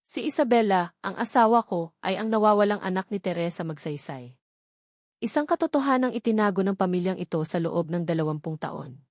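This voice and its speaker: A woman's voice: mid-range voice, lively intonation, a little fast, a little mellow, no room sound, studio quality, lightly acted. Woman voicing a resolute, earnest young man. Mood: firm, defiant. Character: resolute young man defying his family, devoted, earnest. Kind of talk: Tagalog romance audio drama.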